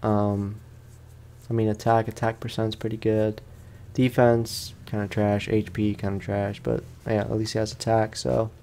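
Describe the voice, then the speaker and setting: A man's voice: lowish voice, little pitch movement, a little slow, vocal fry, dark tone, slight background noise. A man doing casual let's play commentary, talking in an informal, slangy way and often repeating his phrases. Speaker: gaming streamer.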